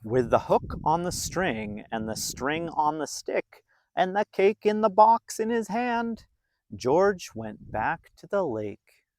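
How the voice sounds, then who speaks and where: A man narrating a children's storybook in an expressive, deliberate way, drawing out phrases for young listeners. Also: on the high side, no echo. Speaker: storybook reader.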